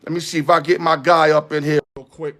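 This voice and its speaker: A man's voice: fairly high pitch, level tone, a little fast, a bit bright, dry recording, studio quality. A man hosting a casual sports talk show, loose and joking, full of street slang. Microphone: headset or earpiece.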